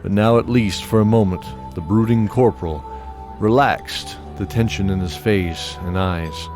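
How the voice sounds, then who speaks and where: Low-voiced man narrating a fantasy drama. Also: measured pace, soft background music. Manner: steady, descriptive storytelling.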